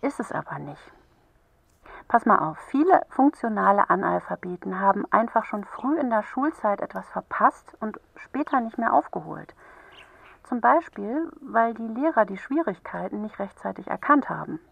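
A woman playing a kind, patient helpline counsellor for children in a radio play, gently explaining and encouraging. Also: mid-range voice, big pitch swings, moderate pace, vocal fry, breathy edge, no room sound, exaggerated voice. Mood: patient, explanatory.

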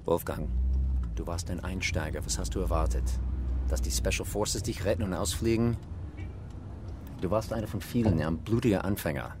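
An actor playing a calculating, persuasive American intelligence handler in a German radio crime drama. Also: male voice, low-pitched, moderate pace, background noise. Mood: pressing. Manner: drops English phrases into German. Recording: produced radio play with loud music.

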